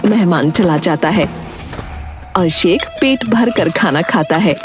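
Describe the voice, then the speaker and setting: A woman's voice: fairly low pitch, expressive, slow pace, over music. A woman reading scripted narration for a cartoon story.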